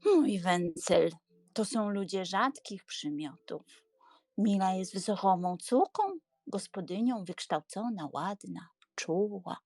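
A woman's voice: mid-range voice, takes it slowly, darkish tone, no room sound. A woman playing an earnest, prim old spinster aunt in a dramatised audiobook, with an emphatic, moralising, fussy manner. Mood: earnest, coaxing. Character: elderly spinster aunt, earnest, prim, easily scandalised matchmaker.

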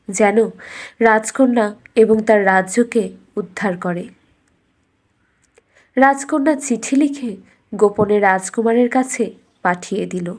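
A woman narrating a scripted cartoon fairy tale as a storyteller, in a measured, deliberate storybook delivery. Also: on the high side.

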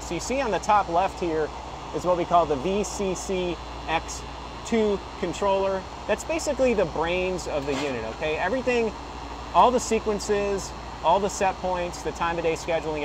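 A man with a fairly high voice explains equipment controls in a loose, conversational way, often stopping to rephrase. He is recording on a phone outdoors beside a working unit. The steady hum of a compressor and fans is clearly heard under his voice. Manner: casual, explanatory, with frequent "you know" and self-corrections. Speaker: HVAC equipment rep giving a tutorial. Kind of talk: unscripted technical walkthrough. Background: running compressor and fan hum.

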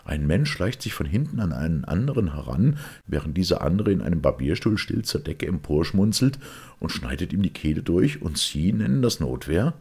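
A man's voice: low-pitched, sing-song, moderate pace, darkish tone, breathy edge, pitched above the performer's own voice, exaggerated voice. A man voicing an impatient, incredulous male secretary in an audiobook, objecting and questioning emphatically. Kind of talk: audiobook character acting.

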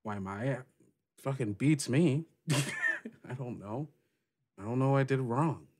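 A man voicing a frank, rough-edged female pirate captain in a casual, halting, sweary way for a tabletop game. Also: mid-range voice. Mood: rueful, casual.

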